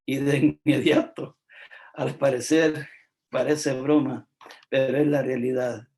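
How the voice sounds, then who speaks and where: Slow, gravelly preacher man. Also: medium pitch, level tone, a little mellow. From El Salvador. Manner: slow, repetitive and emphatic, with frequent "este" fillers. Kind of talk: devotional sermon.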